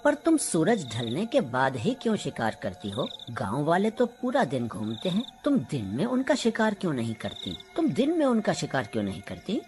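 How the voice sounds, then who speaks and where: A bold old mystic witch hunter, played by a woman in a storybook tale. He speaks with calm, commanding confidence, with music underneath. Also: low-pitched, normal speed, hardly any pauses, voice pushed down. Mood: probing. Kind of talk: dramatic children's moral story acting.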